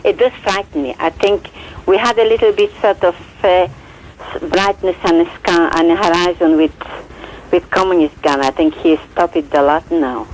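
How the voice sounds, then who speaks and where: Chatty caller with a Polish accent. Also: female voice, lowish voice, steady tone, moderate pace, slight background noise, poor audio. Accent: Polish, mixed with English Midlands. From Poland. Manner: chatty and giggly. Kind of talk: casual phone-in chat.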